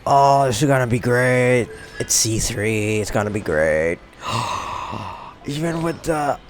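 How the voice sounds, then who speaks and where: Give me a low-voiced woman streamer reacting casually to a game, with a very lively pitch range. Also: slow. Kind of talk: casual live gameplay commentary. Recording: faint game music behind.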